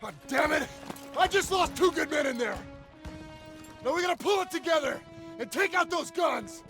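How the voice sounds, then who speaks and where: An actor playing a gruff, hard-bitten male army sergeant who barks orders over loud music. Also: extremely high pitch, animated, normal speed. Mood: furious. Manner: barking orders, shouting. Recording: game cutscene with loud music behind.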